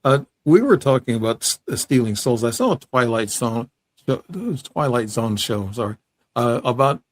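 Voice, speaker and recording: A man hosting an interview show, chatting casually and putting questions to his guest in a tentative, halting way. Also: medium pitch, animated, slight background noise.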